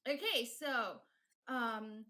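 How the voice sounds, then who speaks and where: A woman whose first language is Polish chats loosely through a live stream. Her voice sits a bit high, and she keeps restarting her sentences and filling the gaps with ums. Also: extremely slow, bright, forceful tone. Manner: chatty, many fillers and restarts, laughs often. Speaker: streamer and fanfic writer. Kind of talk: casual live-stream chat.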